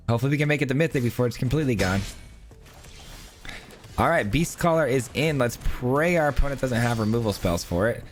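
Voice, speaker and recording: A hoarse man, his voice wearing thin, talks his way through a card game in a chatty, upbeat stream of thought. His pitch sits in a plain middle range, with faint music playing underneath. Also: expressive, moderate pace, pauses often. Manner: chatty, upbeat, thinking aloud. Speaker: gaming channel host. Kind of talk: casual live gameplay commentary.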